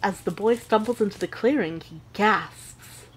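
A woman playing a witch who narrates an audio drama with measured, deliberate storytelling. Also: mid-range voice, a little hiss or hum, close to an everyday voice, rough recording.

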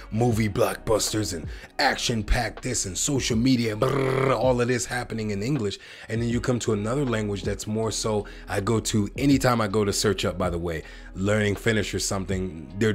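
An American man in a casual vlog, rambling a bit and talking straight to his viewers, often calling them guys. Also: fairly low pitch. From United States. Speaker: vlogger. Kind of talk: casual reaction vlog to camera.

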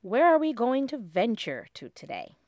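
A woman voicing a cheerful young female ladybug friend in a storybook, with a high squeaky voice. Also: very animated, normal speed, a little mellow, no echo, clean studio sound. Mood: excited.

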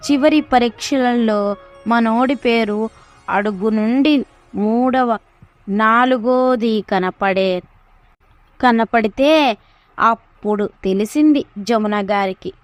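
A woman's voice: a little high, takes it slowly, pauses often, dry recording. A woman narrating a scripted story, with chatty asides to the listener. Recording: music behind the voice. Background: background music.

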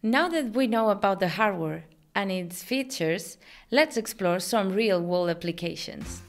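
A woman in her thirties speaks as a company presenter. Her voice sits at an average pitch for a woman. She delivers scripted product narration in an upbeat, promotional manner. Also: expressive, measured pace, faint music behind. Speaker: company presenter, digital marketing manager.

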